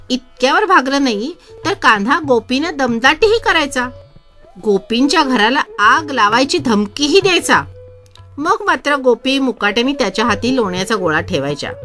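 A woman from reads a scripted story in an expressive, dramatized way. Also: high-pitched. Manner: expressive, enacts characters' speech. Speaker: narrator reading a devotional story.

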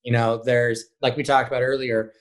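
A man's voice: medium pitch, little pitch movement, normal speed. Male theater director in casual interview talk. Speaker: high school theater director being interviewed.

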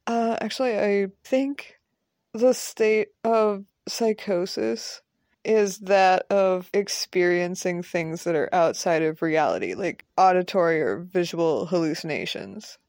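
A woman's voice: lowish voice, a little mellow, no echo. A mild male college professor in an audio drama, played by a woman. He is educated and dry, choosing precise words with some hesitation, in a close, dry recording. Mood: dry, hesitant. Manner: hesitant, precise, measured wording.

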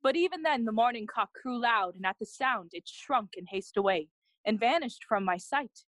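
Loyal young companion to a prince, higher voice, earnest, formal Shakespearean stage speech. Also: female voice, expressive, moderate pace, a bit bright, no echo. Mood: grave, awed. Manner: earnest, formal, clearly declaimed. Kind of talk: bilingual Shakespearean audio play acting.

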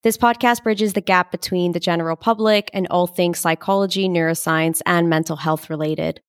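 Young woman podcast host, low voice, dry close sound, earnest and measured. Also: steady tone, clear, resonant tone, no room sound. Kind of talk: scripted introduction then casual interview.

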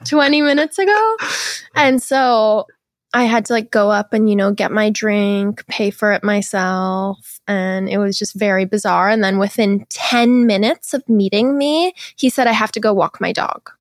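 A woman's voice: medium pitch, measured pace, dry recording, studio quality. Giggly young woman telling dating stories. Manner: giggly, animated storytelling. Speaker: podcast guest. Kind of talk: casual interview chat.

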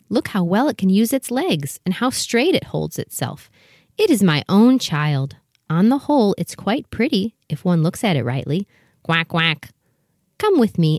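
A proud mother duck from a storybook, played by a woman, with a slightly low female voice. She is bustling and protective, giving motherly instruction in a dry, echo-free sound. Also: darkish tone. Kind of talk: full cast storybook dramatization.

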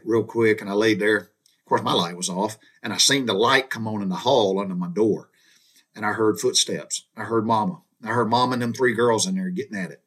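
A man's voice: on the low side, fairly bright tone, husky. Rambling middle-aged man telling stories. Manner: rambling folksy storyteller, chuckles and mild cursing. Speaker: podcast host. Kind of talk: casual storytelling monologue.

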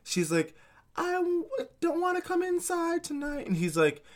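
A man's voice: very high voice, sing-song, normal speed. A young man in casual chat, hesitant and full of fillers.

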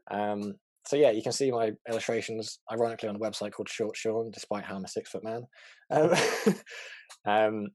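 Fast-talking young English man. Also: lowish voice, a little mellow, dry recording. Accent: English, neutral southern. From England. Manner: fast-talking, chatty, many fillers and laughs. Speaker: interview guest, art director. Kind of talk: casual video-call interview.

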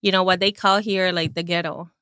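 A woman's voice: on the low side, fairly even intonation, fairly quick, pushed and bright, no echo, clean studio sound. A woman from Colombia chatting casually and earnestly as a podcast guest. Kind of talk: casual chat.

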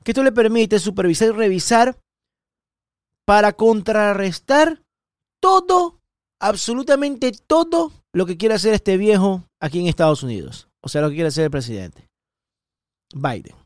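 A middle-aged man from Ecuador with an Ecuadorian Spanish accent, hosting an opinionated political podcast in an emphatic, repetitive way with sudden high stresses. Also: lively intonation, moderate pace, no echo, studio quality. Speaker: podcast host.

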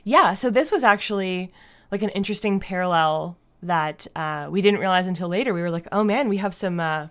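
A woman's voice: lowish voice, fairly quick, fairly bright tone. A Canadian woman chatting informally as an interview guest, with lots of "like" in her casual speech. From Fredericton, New Brunswick, Canada. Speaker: podcast guest, audio drama creator.

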